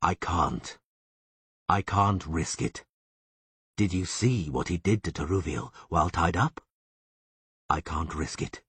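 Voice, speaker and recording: Guy doing a cold elf lord for an audiobook, low husky voice, really slow and deliberate. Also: a bit bright, no echo, heavily acted. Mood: grim refusal. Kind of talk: audiobook character voice.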